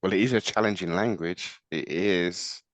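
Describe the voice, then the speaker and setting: A man's voice: low voice, moderate pace. A man hosting a conversational sports interview with theatrical, formal flourishes and an encouraging way of talking. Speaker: sports show host.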